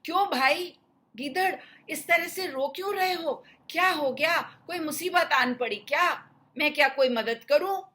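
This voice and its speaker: A woman's voice: high-pitched, a little slow, pushed and bright, voice pushed up. A woman voicing an innocent, kind-hearted male deer in a children's story, earnest, questioning and friendly. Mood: concerned.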